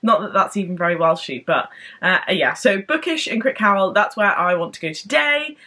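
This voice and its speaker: A young woman talking straight to her camera at home and in a parked car, bubbly and chatty. Her pitch sits in a middle range and she tumbles eagerly from one aside to the next. It sounds like an easygoing, excitable vlog. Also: normal speed. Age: young adult. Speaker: book vlogger. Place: home room with bookshelves, later parked car.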